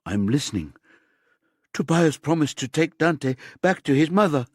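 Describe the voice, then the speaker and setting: A man's voice: fairly high pitch, lively intonation, moderate pace, slightly rough, dry recording, studio quality, voice pushed up. Man as a firm, urgent police detective. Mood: questioning. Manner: insistent, controlled questioning.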